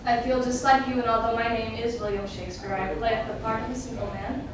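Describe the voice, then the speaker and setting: A woman's voice: on the high side, level tone, fairly quick, a crowd in the background, close to an everyday voice. A woman playing a wistful, lovelorn male Elizabethan playwright in a formal, declamatory theatrical monologue in archaic English. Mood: wistful, confiding. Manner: declamatory, formal, poetic delivery. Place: stage, live performance. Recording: echoey noisy stage, recorded from far off.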